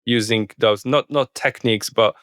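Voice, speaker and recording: A man with a Polish accent, speaking English as a second language as a podcast guest, halting with many restarts. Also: fairly low pitch, steady tone, a bit bright, clear, resonant tone, no echo, clean studio sound. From Poland. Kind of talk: podcast interview.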